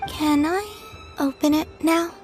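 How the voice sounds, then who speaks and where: A woman voicing a gentle, serene, curious young moon goddess in an anime game, soft-spoken, polite and understated. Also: sits high, little pitch movement, unhurried. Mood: quietly touched. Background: music.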